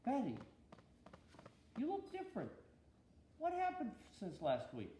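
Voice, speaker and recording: An older man reading a children's storybook aloud in an expressive, grandfatherly way, with deliberate pauses and chatty asides. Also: extremely high pitch, slight background noise. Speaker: bishop reading Bible stories to his granddaughter. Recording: echoey room.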